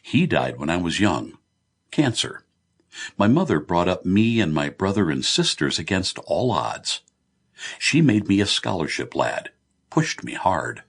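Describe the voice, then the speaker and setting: Casting: male narrator as a blunt, good-humoured Irish tycoon in middle age for an audiobook; low, lively, teasing delivery. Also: a little slow, slightly rough, full character voice. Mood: wry, reminiscing.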